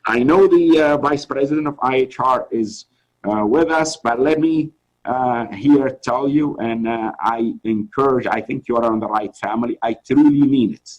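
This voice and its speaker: A man's voice: mid-range voice, normal speed, darkish tone, rough recording. A middle-aged man from Tunisia, a journal editor, giving an earnest, encouraging webinar talk with many restarts over a video call. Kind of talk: informal lecture.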